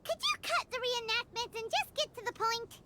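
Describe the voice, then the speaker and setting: A woman's voice: very high voice, expressive, normal speed, noisy background. A woman voicing a tiny, childlike, chirpy and blunt fairy companion in a video game. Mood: puzzled, blunt. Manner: speaks of herself in third person, plain-spoken. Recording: loud music behind the voice.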